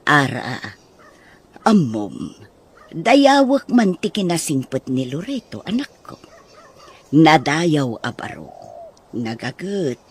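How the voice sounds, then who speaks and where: A woman playing a stern middle-aged mother in an Ilocano audio drama, with a husky voice and an Ilocano accent. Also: deep voice, sing-song, slow, long pauses, a little mellow, exaggerated voice. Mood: admonishing. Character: stern, admonishing mother of grown daughters.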